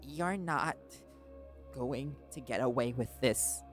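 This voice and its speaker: A young male reporter held captive, voiced by a man in an audio roleplay. He is stubborn and scared, speaking in slow, halting, curt phrases at a middling pitch, with soft music behind him. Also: lightly acted. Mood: wary defiance. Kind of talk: scripted audio roleplay drama.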